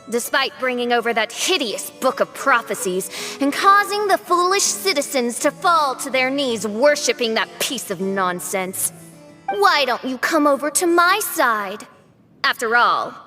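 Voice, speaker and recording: A woman's voice: high-pitched, pushed and bright, heavily acted. A woman voicing a theatrical young princess from an anime game, speaking in grandiose, formal phrases. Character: theatrical young girl princess facing her cruel dark double.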